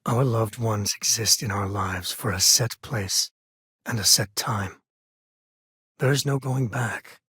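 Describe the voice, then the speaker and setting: A man playing a roguish, good-hearted space-faring ex-ranger and devoted father in a video game, speaking in a casual, wry, conversational way with a rough edge to the voice. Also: on the low side, slow, bright, forceful tone, dry recording, studio quality, full character voice. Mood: weary, earnest. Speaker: video game companion character.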